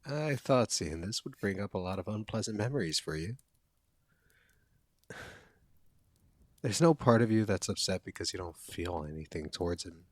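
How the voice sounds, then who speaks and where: Male actor as protective young guy friend, low voice, earnest, intimate boyfriend roleplay, close dry sound. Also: animated, a little fast, no echo. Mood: surprised, relieved. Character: caring, protective young male college friend, secretly smitten. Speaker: audio roleplay performer. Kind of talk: intimate boyfriend ASMR roleplay, one-sided dialogue.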